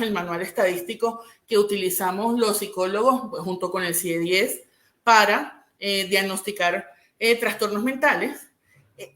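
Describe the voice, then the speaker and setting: A woman from Venezuela, a clinical psychologist, explaining her field in a measured way and often checking in with a rising "¿sí?". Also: mid-range voice, a bit bright, echoey room. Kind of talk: explanatory interview answers.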